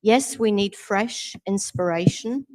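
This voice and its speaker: A middle-aged woman giving a steady, assured campaign closing statement as a council candidate. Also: mid-range voice, slow.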